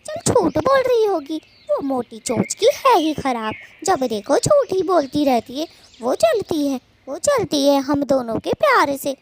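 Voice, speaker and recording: A woman's voice: high voice, big pitch swings, unhurried, slight background noise, voice pushed up, heavily acted. A woman voicing a bossy, gossiping female cartoon bird with a scolding, dramatic, chatty delivery. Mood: doting, then indignant. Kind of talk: children's moral-story cartoon dubbing.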